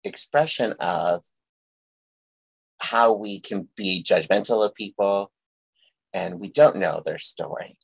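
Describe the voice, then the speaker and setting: Low, gravelly man hosting an interview. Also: slow pace, pauses often, no room sound, rough recording. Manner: gentle, thoughtful, tells stories. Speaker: podcast host. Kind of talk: reflective interview chat.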